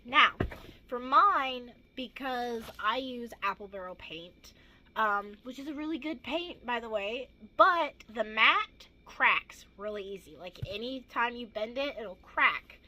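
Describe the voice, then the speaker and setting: A teenage girl with a hoarse, gravelly voice, chatting casually and rambling through a crafting vlog with self-deprecating asides. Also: a little high, lively intonation, a little slow, pushed and bright, low-quality recording. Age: teens. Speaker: craft vlogger.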